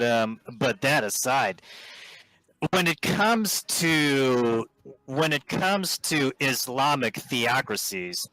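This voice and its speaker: A man speaking as a vegan activist in a voice-chat debate, halting with frequent restarts and ums. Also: medium pitch, pushed and bright, dry recording, rough recording. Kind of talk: debating on a voice call. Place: online voice chat.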